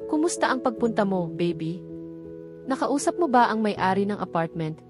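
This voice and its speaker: A woman's voice: medium pitch, very animated, moderate pace, over music, close to an everyday voice. A woman with a Filipino accent voicing an agreeable, affectionate young girlfriend in a romance audiobook. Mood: affectionate, willing.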